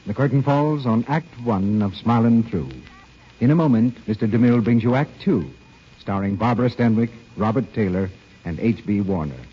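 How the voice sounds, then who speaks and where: A man announcing on an old-time radio show, reading a scripted commercial in a polished, emphatic sales pitch. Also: normal speed, a little hiss or hum. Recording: muffled old radio broadcast.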